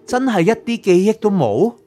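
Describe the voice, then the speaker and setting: A man's voice: high voice, very animated, moderate pace, warm tone, soft background music. A man with a Hong Kong Cantonese accent playing a curious, chatty young man in an audio drama. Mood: curious, probing. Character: curious, chatty young man probing a soul prosecutor's past.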